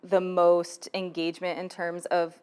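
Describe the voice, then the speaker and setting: A woman hosting a conversational interview with a clear, smooth voice. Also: on the low side, monotone, measured pace, darkish tone. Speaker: host, community manager interviewing creators.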